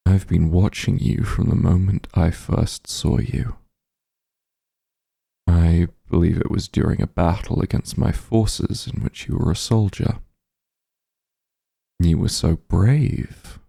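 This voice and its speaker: A man playing a possessive, doting immortal demon lord in an intimate ASMR roleplay, teasing and smug, speaking softly in a gravelly, breathy voice. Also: low-pitched, no room sound, clean studio sound, exaggerated voice. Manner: slow, soft, teasing coaxing.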